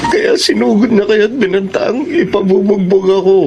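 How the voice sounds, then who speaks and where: Remorseful young gambler, high creaky voice, radio drama, music underneath. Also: male voice, moderate pace. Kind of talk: Filipino radio drama acting.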